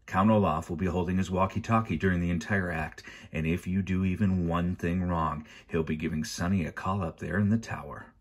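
A man's voice: sits low, steady tone, fairly quick, hardly any pauses, husky. A man voicing a menacing, bony henchman in a storybook, curt and threatening. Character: menacing bony henchman, bald with a long nose.

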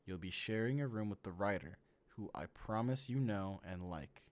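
A man playing an inhuman host who poses as a trusted friend in a comic dub, speaking in a measured, reassuring way. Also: fairly low pitch, animated, close to an everyday voice. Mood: friendly, polite.

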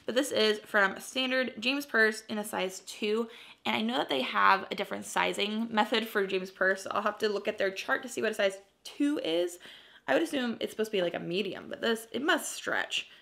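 A young American woman vlogging from her living room in a casual, chatty way. Her voice is bright and forward, with a noticeable creaky fry. She sounds upbeat and gushes over what she shows. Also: medium pitch, moderate pace. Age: young adult. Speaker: vlogger, clothing reseller. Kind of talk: casual chatty haul video to camera.

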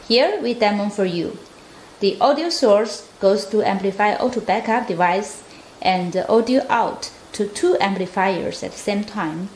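Female product demonstrator, careful scripted read, mid-pitched, recorded in an echoey room with faint noise. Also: a little mellow. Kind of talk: scripted product demo narration. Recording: roomy echo, faint background noise.

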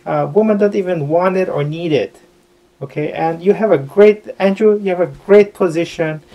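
A man's voice: sits high, normal speed, muffled recording, pitched above the performer's own voice. A man voicing a fussy, chattering young princess in a puppet show. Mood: fretful.

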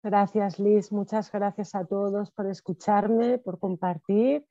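A woman in her thirties, a guest speaker talking reflectively on a video call from home, with soft fillers and restarts. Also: mid-range voice, steady tone, slow, dry recording. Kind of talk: conversational talk. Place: home room with wood ceiling. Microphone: video call with earphones. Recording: slightly dull video call audio.

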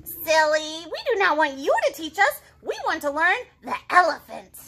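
A woman's voice: high-pitched, animated, moderate pace, pushed and bright, voice pushed up, exaggerated voice. A woman voicing eager, chirpy little squirrels in a storybook. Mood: pleading.

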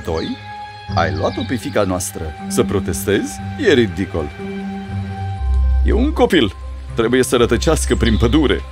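Guy doing an indignant village man in a cartoon, voice swings hugely, loud music behind. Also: on the low side, huge pitch swings, pauses often. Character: angry, indignant village men quarrelling in a fairy tale. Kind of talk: dubbed cartoon fairy tale acting.

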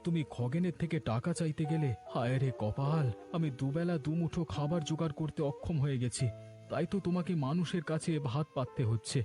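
A man's voice: fairly high pitch, fairly quick, music in the background, close to an everyday voice. A man voicing a poor, anxious village husband in a cartoon melodrama, earnest and pleading. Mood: concerned, sorrowful.

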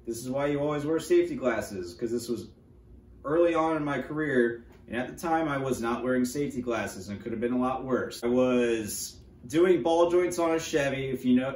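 A man's voice: medium pitch, normal speed, darkish tone. A man in his thirties with a North American accent, casually telling stories as a mechanic in a plain-spoken, matter-of-fact way. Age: 30s. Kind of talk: casual storytelling to camera. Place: wooden workshop or garage, indoors. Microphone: camera a few feet away. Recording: roomy echo, distant microphone.

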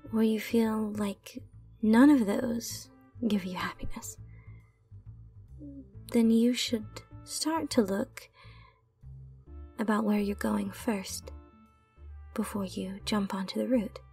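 A woman playing a mysterious, comforting bartender in a soft-spoken ASMR roleplay, with a breathy, whispery voice and a soothing, reassuring manner. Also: mid-range voice, steady tone, far from the mic, soft background music. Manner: slow, soothing, reassuring delivery.

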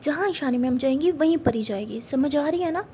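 A woman's voice: sits high, lively intonation, brisk, dark tone, a little hiss or hum. A woman voicing a playful, stubborn young woman in love who whines and protests in a teasing way. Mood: stubborn, whiny. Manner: whiny, teasing, pouty protests.

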